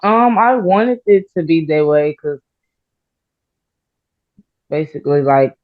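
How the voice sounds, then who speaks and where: A woman rapper answering interview questions in a halting, soft-spoken way, with many fillers and pauses. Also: deep voice, lively intonation, no echo. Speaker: rapper guest. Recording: slightly dull, muffled audio.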